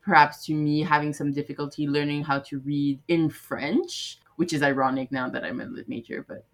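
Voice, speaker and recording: A young woman from Quebec answering interview questions in a relaxed, loose way with fillers. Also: sits low, moderate pace. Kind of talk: casual recorded interview.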